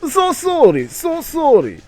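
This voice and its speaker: A man's voice: very high voice, huge pitch swings, takes it slowly, faint music behind, voice pushed up, full character voice. A man voicing an apologetic male talking block with a Russian accent for a comic story.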